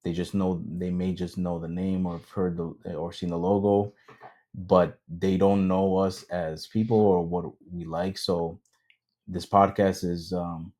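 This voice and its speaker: A man's voice: low-pitched, flat delivery, measured pace, warm tone. A man co-hosting a casual podcast, talking in a hesitant way with many ums and slipping into Spanish now and then. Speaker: podcast co-host. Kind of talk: casual chat.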